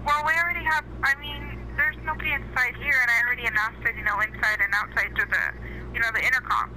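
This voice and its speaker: A woman's voice: on the high side, steady tone, fast, bright, forceful tone. A woman working at a business, taking a phone call politely and a little hesitantly. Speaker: truck stop employee taking a call. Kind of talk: phone conversation with a caller. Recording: noisy telephone line.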